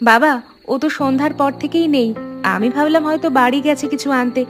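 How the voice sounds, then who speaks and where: A woman playing a caring, practical college-age daughter in an animated story, speaking in an earnest, reassuring way. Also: high-pitched, quick pace, lightly acted. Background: background music.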